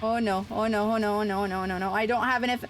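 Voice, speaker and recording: A woman livestreamer chatting casually as she plays a video game, expressive and playful, given to exclamations. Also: medium pitch, fast talker. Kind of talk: casual live gameplay chat and commentary. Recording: game music behind the voice. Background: video game music.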